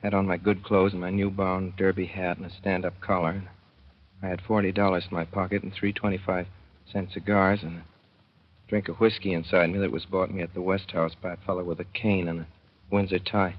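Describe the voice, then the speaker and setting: A man's voice: low voice, little pitch movement, brisk. A man playing a naive young man who tells his own story in a folksy, plain-spoken way, in a 1930s radio drama. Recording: muffled 1930s radio broadcast.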